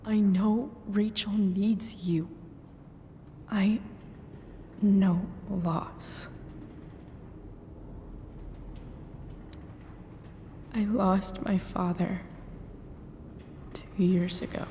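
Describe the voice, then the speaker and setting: Loyal, blunt teen girl for game drama: halting, pleading, unhurried delivery, reverberant room. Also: mid-range voice, little pitch movement, warm tone, a little hiss or hum. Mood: gentle, grieving. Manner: halting, sincere, sometimes profane. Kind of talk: dramatic video game cutscene acting.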